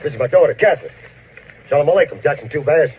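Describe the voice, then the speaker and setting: A man's voice: fairly high pitch, expressive, quick pace, a little mellow, lo-fi sound, slight background noise, natural voice. An actor playing an opinionated rancher father in an old western film, folksy and emphatic. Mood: insistent.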